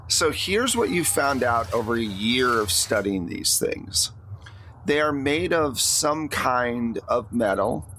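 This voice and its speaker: A man speaking as game master, narrating a tabletop roleplaying game in a conversational way. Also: medium pitch. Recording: clear steady background noise.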